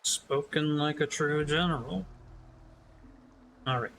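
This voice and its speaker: A man's voice: a little high, slow pace, vocal fry, a bit bright, slight background noise. A man giving casual commentary as he streams a playthrough of a video game.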